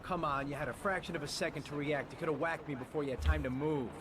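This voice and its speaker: An actor playing an aggressive middle-aged police lieutenant in a video game, blunt and confrontational. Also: male voice, on the high side, fairly even intonation, quick pace, background noise, close to an everyday voice.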